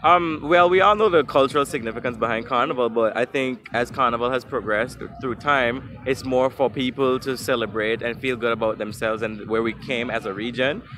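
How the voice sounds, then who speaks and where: A man from Guyana and Anguilla gives thoughtful answers in a bright, mid-pitched voice. He pauses with ums now and then as a guest in a casual street interview. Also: a little hiss or hum.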